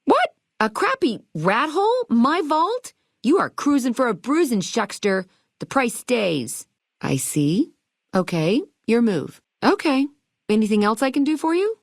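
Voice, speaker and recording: Perky, animated high-voiced hotel keeper. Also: female voice, no room sound, clean studio sound. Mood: indignant, haggling, then chirpy, welcoming. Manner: chirpy salesmanship, playful old-fashioned slang. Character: perky, eager vault hotel keeper woman, quirky and chatty. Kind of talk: video game dialogue lines.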